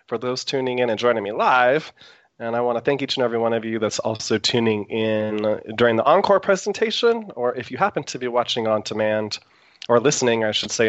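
A man's voice: medium pitch, no echo. Man, radio talk host, sore throat, meandering chatty delivery, dull dry recording. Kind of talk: live internet radio talk show.

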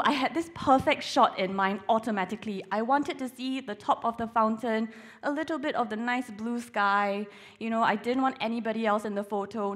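A woman's voice: medium pitch, a bit bright. A woman in her twenties from Singapore, giving a church talk with playful, self-mocking humor. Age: 20s. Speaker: church speaker.

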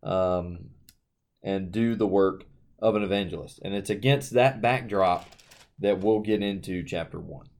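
A man's voice: sits low, measured pace, a little mellow. A man co-hosting a Bible podcast, setting up the text and reading verses aloud steadily. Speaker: podcast co-host. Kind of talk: reading and explaining scripture.

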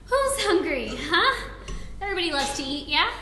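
A woman's voice: very high-pitched, very animated, moderate pace, bright, forceful tone, exaggerated voice. A woman playing a supportive, peacemaking girlfriend with a firm streak in a staged comedic play reading. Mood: placating. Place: indoor room before a live audience. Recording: echoey live room, faint background noise.

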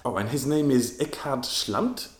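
A man's voice: mid-range voice, measured pace, a crowd in the background. A man hosting a travel podcast, chatty, playful and self-deprecating, rambling a little as he sets up the clips. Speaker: podcast host. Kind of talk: casual podcast narration and interviewing. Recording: echoey room.

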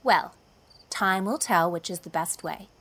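A woman's voice: mid-range voice, animated, a little fast, lightly acted. A woman voicing a practical young female teacher in an audiobook, matter-of-fact and decided. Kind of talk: audiobook character dialogue.

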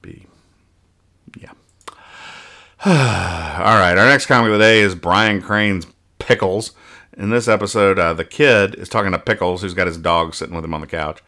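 Low-pitched, bright-voiced man explaining things earnestly. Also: normal speed, long pauses. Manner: mock-earnest, over-explaining, stumbling repetitions. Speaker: podcast host. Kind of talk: casual comic-strip review banter.

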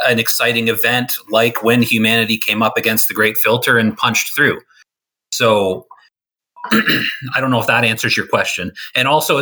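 A man's voice: mid-range voice, pushed and bright. A man in a casual podcast discussion, explaining his ideas at length with some restarts. He is losing his voice.

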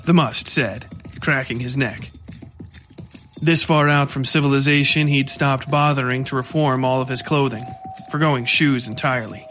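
A man's voice: medium pitch, steady tone, moderate pace, a bit bright. A man reading scripted horror fiction as narrator, with music playing under his voice.

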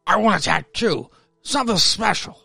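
A man's voice: high voice, moderate pace, gravelly, pushed and bright, husky, dry recording, pitched above the performer's own voice, heavily acted. A man playing a happy, dim drunk husband in a radio-play story, slurring and stammering his words. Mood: drunken delight.

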